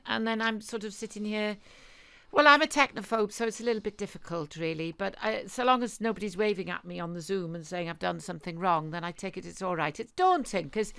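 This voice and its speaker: A woman's voice: medium pitch, creaky, a bit bright, clear, resonant tone, no echo. A woman actor chatting casually from her home back room into a microphone on a stand, self-deprecating and laughing often. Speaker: actor, guest. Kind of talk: casual chat. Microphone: studio microphone on a stand with foam shield.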